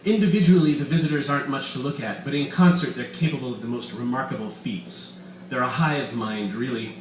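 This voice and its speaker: A man's voice: medium pitch, brisk, natural voice. A man voicing a gloating, grandiose mad-scientist villain in a live story reading, with pompous, theatrical delivery. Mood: smug boasting. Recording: roomy echo, distant mic, faint room noise.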